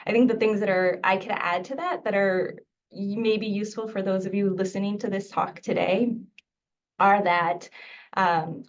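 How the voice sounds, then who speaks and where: A middle-aged woman from Mexico whose first language was Spanish, a physician giving a conversational, earnest webinar talk with frequent fillers. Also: mid-range voice. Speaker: infectious disease physician and mentor. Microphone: video call microphone. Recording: dull, distant video call audio.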